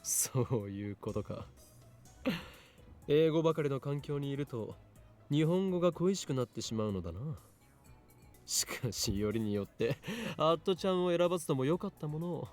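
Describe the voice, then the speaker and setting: A man acting a pompous, rapid-fire young eccentric. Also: fairly high pitch, lively intonation, a little mellow, faint music behind, lightly acted. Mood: teasing. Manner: pompous, declamatory. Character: eccentric, mocking young man, self-important lab leader. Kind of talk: Japanese visual novel voice acting.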